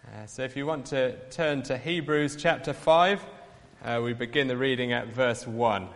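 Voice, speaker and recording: A man reading scripture aloud in church, slow, solemn and lilting. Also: mid-range voice, long reverb tail. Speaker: Bible reader.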